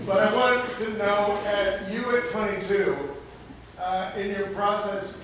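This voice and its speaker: A man's voice: high voice, flat delivery, a little slow, a bit bright, a little hiss or hum. A man in an audience, projecting his question without a microphone. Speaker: audience member asking a question. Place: audience area of a screening venue. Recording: echoey hall, far off, crowd sound.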